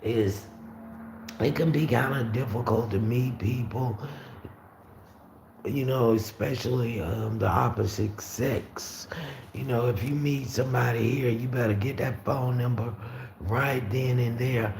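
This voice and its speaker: Raspy low-voiced man in an echoey room. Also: unhurried, darkish tone. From Florida. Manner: rambling, easygoing, frequent fillers and restarts. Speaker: livestream host. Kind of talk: casual live chat, reading out viewer comments. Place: room at home with the windows open. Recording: roomy echo with faint street noise.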